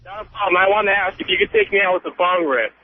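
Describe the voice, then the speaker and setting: A young American man of nineteen rambles casually as a radio caller, cocky and emphatic. His fairly high voice comes through a thin phone line with light noise. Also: quick pace. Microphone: telephone.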